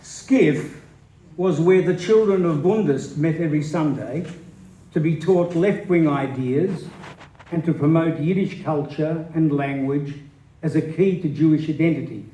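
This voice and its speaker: A man's voice: a little high, a little slow, dark tone. A man giving a dramatic reading of a memoir on a stage. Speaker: stage reader. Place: live stage reading indoors. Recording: roomy, echoing stage sound.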